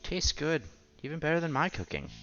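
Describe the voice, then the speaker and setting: A man reading a visual novel aloud as a streamer, with playful, casual asides and quiet game music behind him. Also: on the high side, very animated, fairly quick. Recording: slightly dull, faint game music behind.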